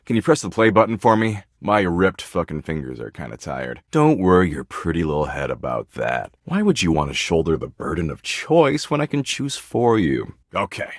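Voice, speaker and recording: A snarky, nihilistic slacker from a dating game. His deep voice swings widely between flat indifference and mocking emphasis, all in flippant slang. It is recorded close and dry. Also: moderate pace, hardly any pauses, no echo. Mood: morbidly enthused. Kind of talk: video game character dialogue.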